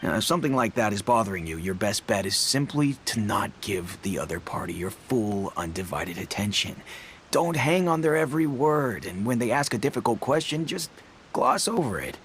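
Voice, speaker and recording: An actor playing an easygoing, tactful army captain in a video game dub, giving measured, knowing advice. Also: male voice, lowish voice, big pitch swings, slight background noise. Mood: advising. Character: tactful, easygoing older soldier, male captain. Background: faint music.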